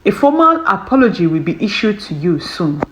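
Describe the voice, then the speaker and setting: A woman's voice: medium pitch, big pitch swings, fairly quick, soft background music, close to an everyday voice, poor audio. A woman voicing a formal, matter-of-fact university official delivering lines in a narrated story.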